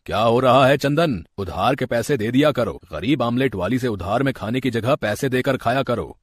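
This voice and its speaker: A man voicing a stern, suspicious shop owner in an animated moral story, commanding and quick to scold. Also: medium pitch, husky, pitched below the performer's own voice.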